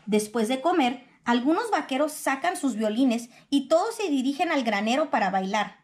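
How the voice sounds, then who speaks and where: Mujer de voz aguda leyendo un cuento. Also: female voice, high voice, animated, moderate pace. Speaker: picture book reader. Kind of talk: expressive children's storybook narration.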